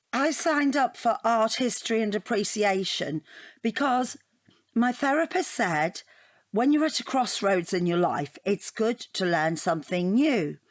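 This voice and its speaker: A woman's voice: mid-range voice, lively intonation, unhurried, no room sound, natural voice. An actress playing a rambling, earnest, self-deprecating divorced woman in a naturalistic audio drama. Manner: rambling, earnest, easily flustered. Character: chatty, self-deprecating divorced woman taking an art appreciation class.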